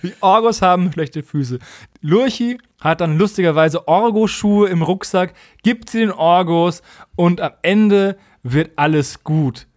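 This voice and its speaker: A man's voice: high voice, unhurried, a little mellow, no room sound, studio quality. A man recapping stories as a podcast co-host in a colloquial, humorous, mockingly opinionated way. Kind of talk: casual humorous episode recap.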